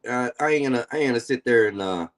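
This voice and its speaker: A man's voice: medium pitch, fast talker, fairly bright tone. A man in his forties hosting a live-stream panel, talking bluntly and confrontationally and swearing freely. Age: 40s. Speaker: stream host.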